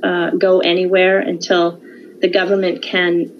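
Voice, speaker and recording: Woman, mid pitch, measured and informative guest, lo-fi call audio with faint hiss. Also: completely flat delivery. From California, United States. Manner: measured, informative, with frequent um and uh pauses. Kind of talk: conversational interview.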